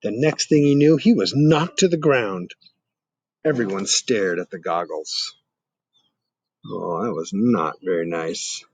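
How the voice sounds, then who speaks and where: A man's voice: fairly low pitch, slow pace, breathy edge, no echo. A middle-aged man reading a children's story aloud expressively, with dramatic questioning asides to young listeners. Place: outdoors in a garden.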